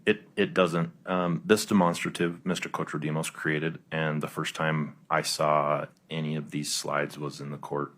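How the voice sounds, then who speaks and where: A male investigator testifying in a courtroom, answering in a halting, careful, hedging way. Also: a bass voice, flat delivery, a bit bright. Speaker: police investigator testifying as witness.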